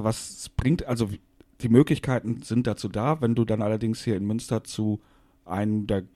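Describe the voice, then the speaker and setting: A man's voice: on the low side, warm tone. A man from Westphalia co-hosting a casual podcast chat, explaining things in a matter-of-fact way. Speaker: podcast co-host.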